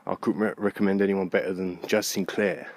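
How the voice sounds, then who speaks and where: A man vlogging casually on a walk outdoors, thinking aloud in a halting, rambling way. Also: deep voice, flat delivery, no room sound. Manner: musing, halting, with unfinished thoughts. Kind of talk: casual rambling monologue while walking. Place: outdoors in a park at night. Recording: noisy outdoor handheld recording.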